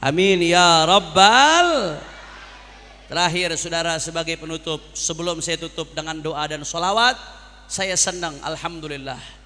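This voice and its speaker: A man's voice: fairly high pitch, measured pace, sharp tone, long reverb tail, slight background noise. A man preaching a sermon to a congregation as an impassioned orator, using repetition and rhetorical questions. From Jakarta, Indonesia. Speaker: Islamic preacher.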